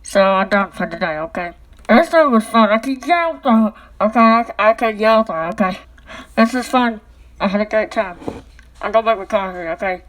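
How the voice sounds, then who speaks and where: Woman vlogger at home talking into a paper towel tube, keeps saying okay?, average pitch. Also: lively intonation, normal speed, fairly bright tone. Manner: repeats "okay?" after nearly every sentence; talking through a cardboard tube like a megaphone. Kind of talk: rambling casual vlog talk. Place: indoors at home. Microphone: phone.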